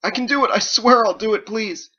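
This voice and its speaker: A man voicing an innocent young man in an audiobook story, with an excitable, pleading manner. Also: high-pitched, a little fast, highs cut off, pitched above the performer's own voice. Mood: terrified pleading. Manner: stammering, pleading.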